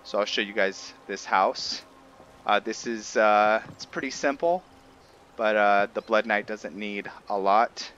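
A man giving casual, halting commentary as he explains his roleplay video game playthrough. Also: fairly low pitch, fairly bright tone, lo-fi sound. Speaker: gamer narrating a roleplay playthrough. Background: faint game music.

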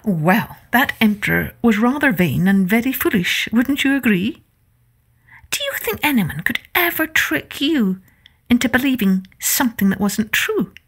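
A woman narrating a children's storybook as a podcast host, in an expressive, deliberate manner. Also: fairly high pitch, slightly rough.

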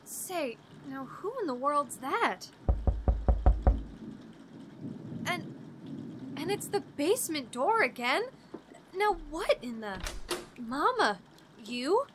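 An actress playing an unsuspecting, caring wife in a radio horror drama, with a folksy, fussing, bossy manner. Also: female voice, high-pitched, big pitch swings, slow, full character voice. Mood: puzzled. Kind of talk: old-radio drama acting. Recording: noisy background ambience.

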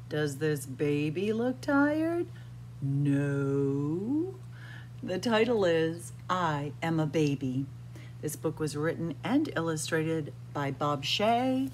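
Middle-aged lady doing storytime for little kids, lowish voice that swings up and down a lot, bit of noise. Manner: deliberate, expressive, sing-song phrasing for small children. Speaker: children's library storyteller. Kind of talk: picture book read-aloud for young children. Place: indoors in a library room with bookshelves.

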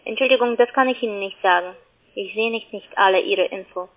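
A woman's voice: mid-range voice, a little fast. A woman, not a native German speaker, making a formal, clipped sales call. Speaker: cold caller. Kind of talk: phone sales pitch. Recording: tinny phone line.

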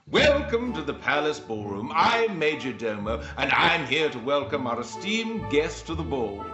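A middle-aged actor playing a pompous, self-pitying pantomime master of ceremonies, theatrical and peevish. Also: male voice, a little high, big pitch swings, a little slow, reverberant, lo-fi sound, music in the background. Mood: grand, announcing. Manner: theatrical, grandiose, peevish in asides.

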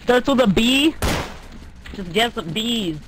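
High-pitched young man, crude and teasing. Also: animated, takes it slowly, background noise. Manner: slangy, teasing, profane. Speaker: prank caller. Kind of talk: casual, crude prank phone chat.

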